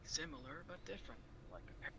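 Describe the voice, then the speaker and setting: Halting man over noisy voice chat. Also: a little high, expressive. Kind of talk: in-character improvised roleplay chat.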